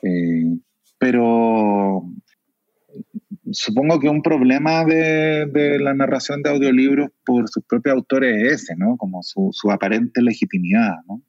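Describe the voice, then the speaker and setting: A Chilean novelist in his forties with a Chilean Spanish accent, speaking thoughtfully, with many pauses and fillers. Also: mid-range voice, darkish tone, no room sound, highs cut off. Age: 40s. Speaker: novelist guest. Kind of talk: reflective conversation.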